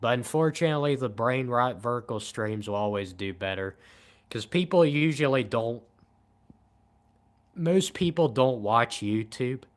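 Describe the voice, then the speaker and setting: A man in his twenties chatting casually on a late-night livestream in a flat, monotone, low-key way. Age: 20s. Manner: monotone, calm and low-key, says he shows little emotion. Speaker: livestream host.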